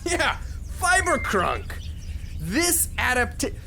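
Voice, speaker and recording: An actor playing a brash, cynical space drifter, blunt and sarcastic, in a sci-fi audio drama. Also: male voice, very high-pitched, big pitch swings, takes it slowly, gravelly, noisy background, exaggerated voice. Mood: indignant.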